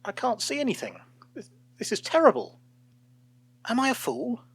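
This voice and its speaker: A man's voice: very high-pitched, huge pitch swings, moderate pace, slightly rough, pitched above the performer's own voice, exaggerated voice. A man voicing a vain, self-important man in charge who is privately insecure, in a storybook tale. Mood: panicked. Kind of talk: storybook character voice.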